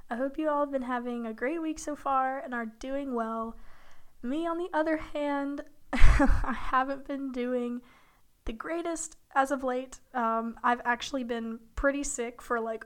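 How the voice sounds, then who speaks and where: A young woman in her college years hosts a solo podcast, her slightly high voice still a little hoarse from illness. She rambles in a relaxed, chatty way, full of fillers and small laughs. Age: young adult. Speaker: podcast host. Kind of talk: casual solo podcast talk.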